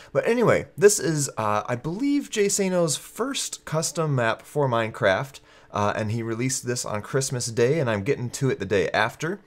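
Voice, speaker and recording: Congested man doing casual game commentary. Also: mid-range voice. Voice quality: congested, stuffy-nosed. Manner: conversational, explanatory. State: congested with a cold. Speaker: gaming video host.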